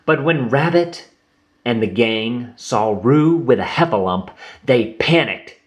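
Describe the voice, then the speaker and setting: Male read-aloud narrator for kids, unhurried and highly expressive. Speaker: storybook reader. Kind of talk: reading a children's book aloud.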